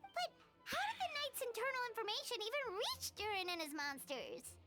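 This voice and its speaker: A woman voicing a small, excitable, childlike fairy companion in a video game, squeaky and exclamatory. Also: moderate pace. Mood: curious, earnest. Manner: exclamatory, speaks of self in third person. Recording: music behind the voice.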